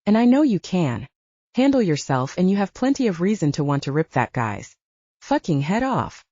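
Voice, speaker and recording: Audiobook role: wry, snappy she-wolf beta read by a woman, mellow tone, dull lo-fi sound. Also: lowish voice, very animated, normal speed, dry recording. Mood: snappy, urgent. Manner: snappy, wry, humorless joking. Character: blunt, wry red-haired female werewolf beta.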